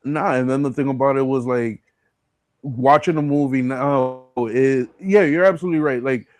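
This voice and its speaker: A man's voice: mid-range voice, normal speed, darkish tone, dry recording. A middle-aged American man hosting a podcast in casual, slangy, streetwise conversation. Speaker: podcast host. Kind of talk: casual chat.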